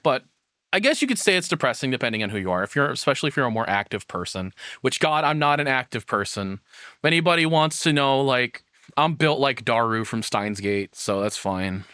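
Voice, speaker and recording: A man's voice: mid-range voice, animated, fairly quick, pushed and bright, clear, resonant tone, no room sound, studio quality. A man giving casual reaction commentary as a content creator, rambling and frank, with casual swearing.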